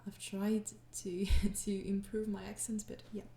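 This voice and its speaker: A woman's voice: mid-range voice, level tone, measured pace, reverberant. A young woman with a French accent answering fan questions in a hesitant, apologetic way, tired and slipping into whispers. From France. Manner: hesitant, apologetic, frequent soft laughs, slips into whispers. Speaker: ASMR audio creator answering fan questions. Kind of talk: soft-spoken casual Q&A.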